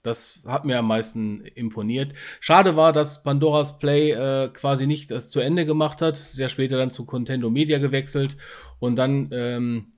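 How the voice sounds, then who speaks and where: A man's voice: medium pitch, steady tone, moderate pace. A man co-hosting a podcast, talking in a casual, conversational way. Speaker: podcast host. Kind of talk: casual chat about audio dramas.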